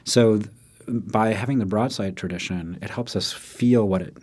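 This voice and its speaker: Low-voiced man explaining with lively asides. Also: normal speed. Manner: animated, acts out quotes in raised voice, frequent "right?". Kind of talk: conversational explanation.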